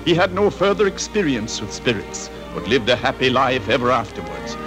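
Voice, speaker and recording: A man narrating a story in genial, expressive old-time radio style. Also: medium pitch, moderate pace, natural voice. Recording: vintage radio broadcast recording. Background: music.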